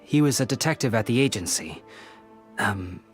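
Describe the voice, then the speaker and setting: A man playing a patient, friendly former police officer in a video game, gentle and courteous. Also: lowish voice, lively intonation, normal speed, natural voice. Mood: resigned, explaining. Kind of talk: video game character acting. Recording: faint music under the voice.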